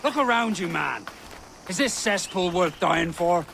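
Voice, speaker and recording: Tired cautious pirate, slightly high voice, eloquent, lots of outdoor noise, game. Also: male voice, expressive, a little slow, vocal fry, a bit bright, full character voice. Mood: disillusioned. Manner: sober, eloquent. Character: weary, cautious, disillusioned male pirate elder statesman. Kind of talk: video game cutscene acting.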